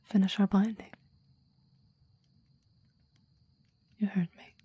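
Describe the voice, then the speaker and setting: Kadının canlandırdığı çok yumuşak, fısıldayan, sahiplenici iblis. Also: female voice, medium pitch, little pitch movement, no room sound, lo-fi sound. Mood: insistent. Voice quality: soft, breathy whispering. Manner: slow, formal, intense and adoring. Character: possessive, devoted clingy demon bound to a summoner. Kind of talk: intimate ASMR roleplay, whispered.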